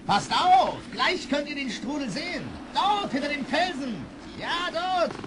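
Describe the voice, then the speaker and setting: A man's voice: extremely high pitch, animated, a little slow. An actor playing a boatman guide who tells spooky tales with dramatic suspense in a German children's audio drama. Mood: urgent, excited. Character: male boatman guide, teasing spooky storyteller. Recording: noisy vintage radio play with ambience.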